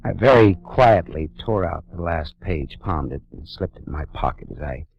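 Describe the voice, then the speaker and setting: An actor playing a wry, world-weary private detective in a 1940s radio noir drama, with clipped, dramatic narration. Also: male voice, sits low, expressive, moderate pace, creaky. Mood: tense narrating. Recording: dull 1940s radio recording, music behind.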